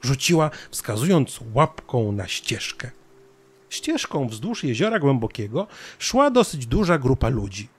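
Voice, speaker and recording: Male narrator for a children's tale, mid-pitched with animated intonation. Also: measured pace, slightly rough. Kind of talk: scripted children's story narration.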